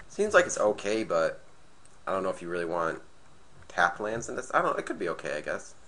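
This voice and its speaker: Deep-voiced man with a sick, nasal rasp. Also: sing-song, a little fast, pushed and bright. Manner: thinking aloud, hesitant restarts. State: sick, slightly raspy and nasal. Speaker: gameplay commentator. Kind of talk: casual card game play commentary.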